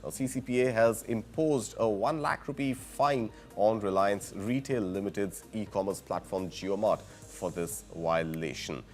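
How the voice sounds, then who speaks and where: A man's voice: fairly low pitch, a little slow, hardly any pauses, a little mellow, soft background music. A man with an Indian accent presenting the news and interviewing as a broadcast anchor.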